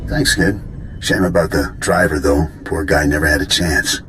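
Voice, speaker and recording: An actor playing an easygoing veteran army lieutenant and mechanic in a video game, with a folksy, chummy manner. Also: male voice, very deep voice, normal speed, pushed and bright, a little hiss or hum. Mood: friendly, rueful.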